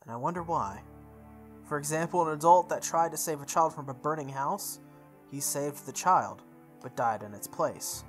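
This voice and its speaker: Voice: mid-range voice, normal speed. A person reading visual novel text aloud for a playthrough, stumbling over words and repeating phrases, with offhand asides. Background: music.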